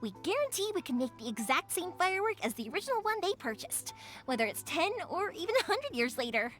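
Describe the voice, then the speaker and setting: A cheerful young woman fireworks maker with a bright, high voice that swings up and down lively. She sounds friendly and reassuring, with game music playing behind her. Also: fast. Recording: game audio with background music.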